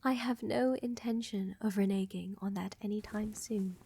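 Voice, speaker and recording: A woman playing a seductive, tender vampire countess in a soft, intimate roleplay ASMR style, often dropping to a whisper, with a teasing, assured manner and formal wording. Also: medium pitch, fairly even intonation, moderate pace, gravelly, a little hiss or hum, lightly acted. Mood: tender, reassuring. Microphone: close microphone, dry.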